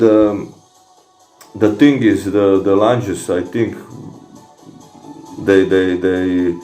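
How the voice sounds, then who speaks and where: A man with a Croatian accent who speaks Croatian natively, casually answering comments from viewers in a fitness vlog, halting with many fillers and restarts. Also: sits low, darkish tone, echoey room. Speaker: fitness vlogger.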